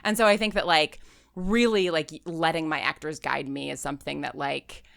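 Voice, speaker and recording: A woman's voice: deep voice, fairly even intonation, normal speed, creaky, a bit bright, dry recording, studio quality. A woman talking casually as an interview guest, rambling with lots of "like" and "you know", stressing key words. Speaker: interview guest, audio drama writer and creator. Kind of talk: casual, chatty interview answers.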